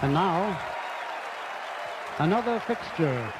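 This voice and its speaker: Slow male ballpark announcer over loud crowd. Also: on the high side, very animated, very noisy. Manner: measured, formal. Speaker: stadium public address announcer. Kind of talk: formal ceremonial ballpark announcement.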